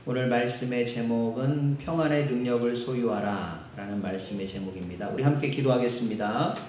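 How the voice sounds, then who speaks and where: Brief: male Seoul-accented pastor, mid-pitched, relaxed sermon delivery in a reverberant church. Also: slight background noise. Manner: conversational, with filler pauses. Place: church sanctuary. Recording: echoey church hall.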